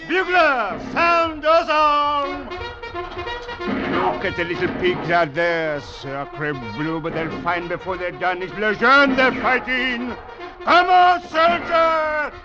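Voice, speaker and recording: An actor playing a ruthless, contemptuous commandant in a 1940s radio drama, barking menacing orders. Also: male voice, very high-pitched, lively intonation, slow pace, over music, heavily acted. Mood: frantic, roaring. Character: ruthless French Foreign Legion commandant, brutal and contemptuous. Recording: dull, noisy 1940s radio recording.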